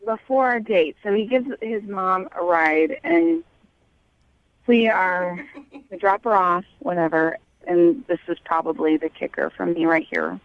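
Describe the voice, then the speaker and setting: A woman's voice: on the low side, a little slow, no echo. A young woman with an American accent, a guest talking casually and a bit hesitantly over a phone call. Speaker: called guest on a radio show.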